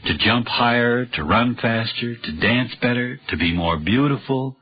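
A man presenting a scripted radio introduction in a solemn, deliberate, ominous old-time style. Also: mid-range voice, lively intonation. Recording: muffled vintage radio, loud music behind.

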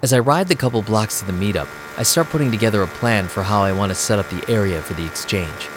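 A man's voice: lowish voice, fast talker, noisy background, lightly acted. A man playing a wry, streetwise vampire DJ, narrating an audio drama in a sardonic, casual way.

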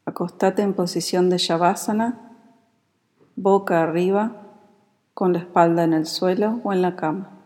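A woman with a Rioplatense Spanish accent guides a meditation in a calm, soothing, unhurried manner. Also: lowish voice. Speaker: yoga nidra meditation guide. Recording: long reverberant hall-like echo.

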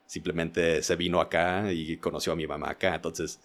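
Very low-voiced man, hesitant. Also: little pitch movement, moderate pace. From United States, Indiana. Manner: hesitant, self-correcting, qualifying himself. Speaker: podcast guest. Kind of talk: casual interview, personal reflection.

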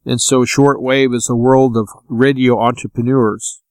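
A man hosting an informal radio talk, explaining practical tips in a plain-spoken, unhurried manner. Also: mid-range voice, little pitch movement, a little mellow, no echo. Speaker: radio show host giving advice.

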